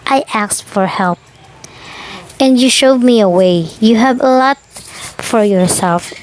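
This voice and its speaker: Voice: mid-range voice, slow, a little hiss or hum, close to an everyday voice, low-quality recording. A woman voicing an old beggar from a storybook folktale. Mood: stern, reproachful. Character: hungry old beggar, pleading, then sternly scolding.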